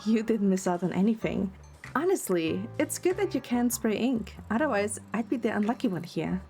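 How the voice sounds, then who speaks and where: A woman's voice: medium pitch, animated, moderate pace, mellow, soft background music, natural voice. A woman playing a wry, affectionate young heroine who narrates her own romance in an audio drama. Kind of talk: first-person narration and dialogue in audio drama.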